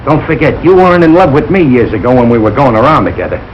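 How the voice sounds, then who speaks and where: A man's voice: medium pitch, sing-song, quick pace, lightly acted. An actor playing a blustering, suspicious working-class father with blunt, ungrammatical talk in a 1940s radio sitcom. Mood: indignant, stubborn. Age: middle-aged. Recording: muffled, noisy old radio recording.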